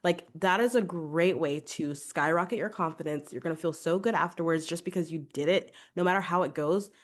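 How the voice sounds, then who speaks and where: Congested lady vocal coach, low voice, chatty and encouraging, lots of "like" and "right?". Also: fairly quick. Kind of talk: podcast advice monologue.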